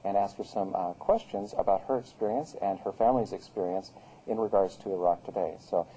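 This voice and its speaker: A man's voice: lowish voice, brisk, warm tone, a little hiss or hum, low-quality recording. A man hosting an interview, asking questions politely and hesitantly with many uhs. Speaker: host, interviewer.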